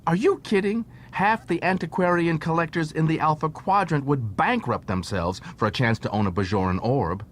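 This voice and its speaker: A male narrator puts on a brazen female con artist, lifted above his usual low register. She is sly and flippant, breezily dodging questions in an audiobook. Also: lively intonation, normal speed, hardly any pauses, natural voice. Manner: breezy, teasing evasiveness. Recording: noisy track with low rumble.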